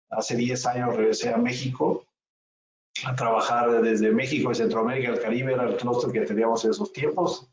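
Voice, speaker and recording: A man's voice: mid-range voice, darkish tone, highs cut off, a little hiss or hum. Mexican man, distant and roomy. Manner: halting, repeats words. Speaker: panelist. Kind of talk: webinar panel introduction.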